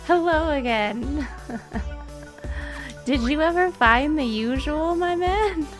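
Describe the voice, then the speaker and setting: Slow-paced, higher-voiced young woman streaming. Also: lively intonation. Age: young adult. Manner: giggly, chatting to viewers. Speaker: game streamer. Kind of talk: casual livestream gameplay chat. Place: indoor room, streaming setup. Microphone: boom-arm microphone close up. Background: faint game music.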